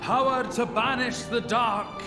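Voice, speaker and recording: A heroic legend on a game's theatre stage. The voice is very high for a man and declaims slowly in grand archaic style. It rings through a big hall over music. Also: steady tone. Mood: fervent pleading. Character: noble heroic male legend, pleading grandly, in a stage play.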